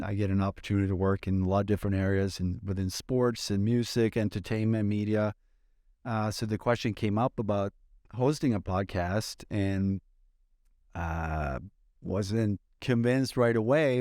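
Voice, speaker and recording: A man, hosting a casual podcast in a relaxed, thoughtful way, pausing to find his words. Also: lowish voice, no echo, studio quality. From Sweden. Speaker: podcast host.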